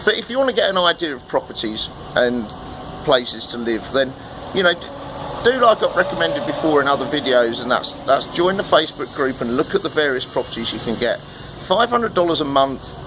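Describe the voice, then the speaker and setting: A man's voice: medium pitch, big pitch swings, a little fast. Chatty man vlogging from a noisy balcony. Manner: chatty, repeats and restarts words. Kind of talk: casual vlog talking to camera. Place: outdoor balcony.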